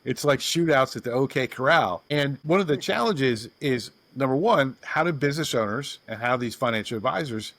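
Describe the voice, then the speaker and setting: A man's voice: mid-range voice, expressive, normal speed, few pauses, a bit bright, no room sound. A man hosting a podcast, talking bluntly and with emphatic energy. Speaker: podcast host. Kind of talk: casual podcast chat.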